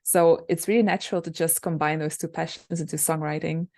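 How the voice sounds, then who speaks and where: A young woman singer with a low alto voice, chatting casually over a video call about her music with many fillers. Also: fairly even intonation, brisk, darkish tone. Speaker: singer and songwriter, interview guest. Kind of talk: casual interview answers.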